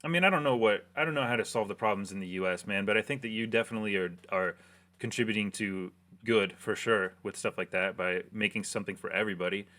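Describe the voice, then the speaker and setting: A man's voice: on the low side, a bit bright. A young American man hosting a casual conversation, talking loosely with plenty of fillers. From United States. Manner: quick, loose, with many fillers. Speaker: podcast host.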